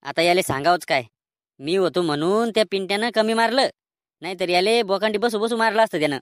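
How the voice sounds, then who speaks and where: A gruff village schoolmaster from a comedy cartoon, acted out by a woman, scolding in a rural Maharashtrian accent. The manner is coarse and full of threats. The recording is dry and close. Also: medium pitch, no room sound, lightly acted.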